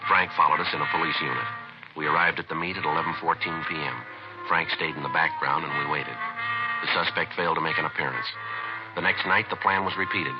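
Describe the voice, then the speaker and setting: An actor playing a terse, middle-aged police detective sergeant with deadpan, clipped delivery in a 1950s radio crime drama. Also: male voice, very deep voice. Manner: deadpan, clipped, matter-of-fact. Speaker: lead actor and narrator. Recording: dull vintage radio recording with music.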